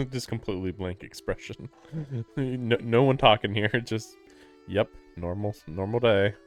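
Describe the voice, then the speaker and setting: A man's voice: lowish voice, a little slow. A man livestreaming a game with casual, amused commentary, rambling to himself as he goes. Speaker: live streamer. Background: game music.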